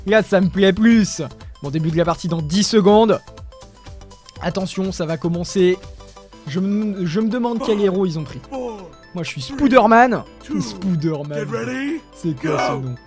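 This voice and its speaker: A young man giving excited commentary over his own gameplay, exclaiming often and talking straight to his viewers, recorded on a desk mic with headphones on. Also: high-pitched, a little slow, faint music behind. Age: young adult. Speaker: gaming video creator.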